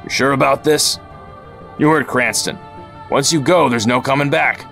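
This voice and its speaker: A man's voice: medium pitch, moderate pace, gravelly, fairly bright tone, soft background music. An actor playing a gritty, guarded teenage male resistance fighter in an audio drama. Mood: concerned, subdued.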